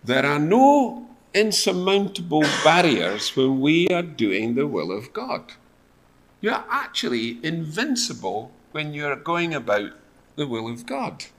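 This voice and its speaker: Animated male preacher. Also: a little high, takes it slowly. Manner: conversational, rhetorical questions and wry asides. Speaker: church preacher. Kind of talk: sermon with storytelling and acted-out dialogue. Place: church hall, speaking to a seated congregation. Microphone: lectern microphone and lapel mic.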